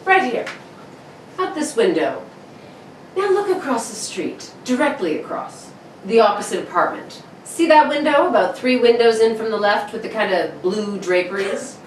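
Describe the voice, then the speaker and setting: A woman's voice: a little high, animated, moderate pace, lightly acted. A woman playing an uneasy, insistent man of the house in a radio play reading, speaking urgently. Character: uneasy, insistent male apartment dweller, the master of the house. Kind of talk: radio play read aloud. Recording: roomy, slightly noisy distant microphone.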